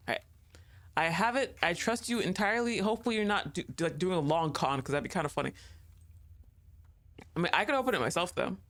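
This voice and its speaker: Low-voiced woman streamer, teasing. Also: a bit bright. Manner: chatty and teasing, laughs often, talks to the game. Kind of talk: casual gaming commentary.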